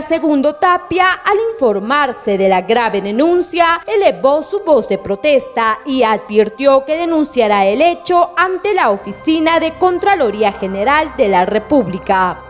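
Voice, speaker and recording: A woman with a Peruvian Spanish accent reading a scripted regional news report in a measured, formal way. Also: sits high, animated. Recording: voice-over with faint music bed.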